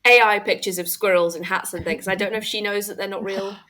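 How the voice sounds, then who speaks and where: A woman comedian guest chatting on a podcast in a dry, wry, deadpan way. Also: medium pitch, fast, a loud crowd. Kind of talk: casual comic chat.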